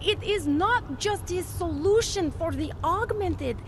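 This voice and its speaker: An actress playing an earnest, persuasive corporate recruiter in a video game, with a polished, idealistic sales delivery. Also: female voice, extremely high pitch, lively intonation, takes it slowly, a little hiss or hum, heavily acted. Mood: fervent admiration. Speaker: company representative. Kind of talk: video game dialogue acting.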